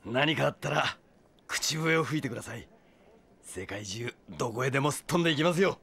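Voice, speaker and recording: A man's voice: medium pitch, animated, brisk, bright, forceful tone, slightly rough, heavily acted. An actor playing a brash, sentimental young Frenchman in a Japanese audio drama, heartfelt and dramatic. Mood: tender, wistful. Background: music.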